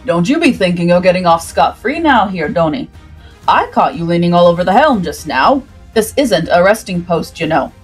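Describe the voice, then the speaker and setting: A woman voicing a gruff, fatherly, mountainous older male ship's cook, scolding indulgently in folksy seafarer's talk for a story. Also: fairly low pitch, big pitch swings, moderate pace, faint music behind, voice pushed down. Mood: stern.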